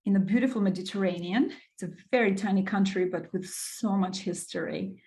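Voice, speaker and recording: Middle-aged woman from Albania, podcast guest; enthusiastic, filler-heavy, mid pitch. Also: a little fast, warm tone. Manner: enthusiastic, with many fillers and repeated words. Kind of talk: casual interview storytelling.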